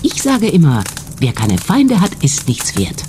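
A self-important TV reporter in a radio play. She is opinionated and solemnly dramatic, her pitch swinging widely, over faint noise. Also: wildly swooping pitch, a little slow, exaggerated voice. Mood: smug. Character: self-important female TV journalist with maxims. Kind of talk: German-language radio play acting.

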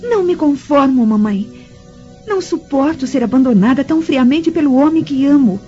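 A woman's voice: sits high, lively intonation, moderate pace, warm tone. A woman playing a spurned, passionate young Roman woman in a radio drama, with a Brazilian accent. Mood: wounded longing. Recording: muffled radio drama with music.

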